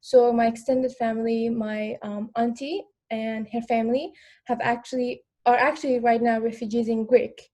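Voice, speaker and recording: A woman's voice: on the high side, little pitch movement, moderate pace, mellow. A young woman from Afghanistan, speaking English as a second language, talking earnestly on a panel with many fillers and restarts. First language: not English. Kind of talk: online panel conversation.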